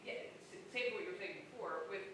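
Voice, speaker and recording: Man, high voice, rapid outspoken questioning, echoey room, clear background rumble. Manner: rapid, outspoken, says he has a loud voice. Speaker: audience member asking a question. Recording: echoey hall, far from mic, rumble behind.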